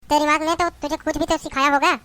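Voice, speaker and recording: A bitter, scolding mother-in-law, voiced by a woman in a domestic drama. She hurls insults and complaints in a high-pitched voice. The recording is dry, with no room echo. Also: flat delivery, quick pace, bright, forceful tone. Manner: taunting, berating, insulting. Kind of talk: family drama acting.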